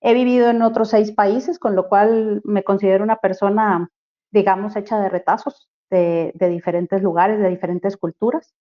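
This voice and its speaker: A woman from Costa Rica with a Costa Rican accent, speaking as an interview guest on human rights, thoughtful and halting, with many fillers and restarts. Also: mid-range voice, fairly even intonation. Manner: reflective, many fillers and restarts, searching for words. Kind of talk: casual interview talk. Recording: dull lo-fi audio.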